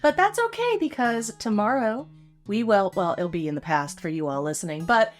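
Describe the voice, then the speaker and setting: A woman with a slightly raspy voice, hosting a casual podcast chat and telling stories playfully. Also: medium pitch, animated, moderate pace, soft background music. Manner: playful, chatty storyteller. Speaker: podcast co-host. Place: small carriage house room.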